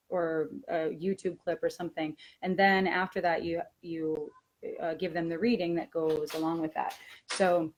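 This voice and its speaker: A woman's voice: lowish voice, low-quality recording. A woman speaking as a language teacher and presenter in a conversational training webinar, with a matter-of-fact, instructive manner.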